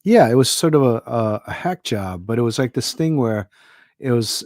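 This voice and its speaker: A man's voice: medium pitch, lively intonation, normal speed, a little mellow, no room sound. Middle-aged guy from hawaii chatting on a podcast, lots of ums and laughs, dry close mic. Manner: thoughtful, rambling, many fillers and laughs. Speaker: author and podcast guest. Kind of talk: casual podcast conversation.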